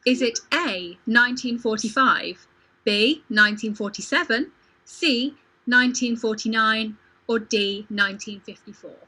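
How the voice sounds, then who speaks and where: A woman's voice: fairly high pitch, measured pace, pushed and bright, rough recording. A woman running a casual quiz on a livestream as quizmaster, playful and jokey. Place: home video call.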